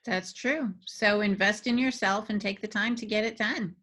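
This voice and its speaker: A woman's voice: mid-range voice, moderate pace, fairly bright tone, slightly rough. A woman speaking as a podcast panelist, giving conversational advice to writers.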